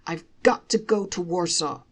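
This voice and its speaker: A woman's voice: on the low side, normal speed, lightly acted. A woman voicing a naive, dreamy village man from a folktale, earnest and full of wonder. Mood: dreamy, insistent. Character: naive dreamy Chelm villager Reb Selig, adult man, easily amazed.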